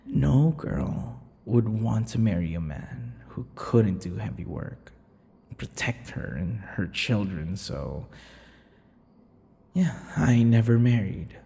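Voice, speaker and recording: A man playing a brooding, unhinged male vampire in an intimate ASMR roleplay, breathy and halting, often dropping to a whisper. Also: on the low side, animated, full character voice. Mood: wistful, hurt. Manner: slow, halting, dramatic pauses and dark chuckles. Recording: long reverberant echo.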